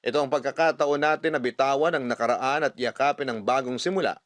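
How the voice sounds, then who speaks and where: Radio host, male, Filipino accent, medium pitch, earnest and sentimental storytelling delivery, dry studio sound. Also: fast, a bit bright, no room sound. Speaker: radio drama host reading listeners' letters. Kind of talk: dramatic first-person letter narration.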